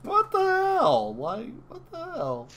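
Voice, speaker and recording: A man chatting casually as an interviewer, with an excitable, exclamatory way of talking. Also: very high-pitched, slow. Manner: excitable, exclamatory, swearing emphatically. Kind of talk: casual fan interview chat.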